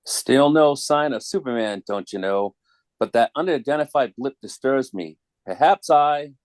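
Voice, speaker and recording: A man's voice: medium pitch, lively intonation, unhurried, darkish tone, no room sound, lightly acted. A man playing an unhinged, menacing toy-making villain in a melodramatic comic book read. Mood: suspicious. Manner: melodramatic, quirky verbal tags. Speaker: performer in a dramatic comic book table read.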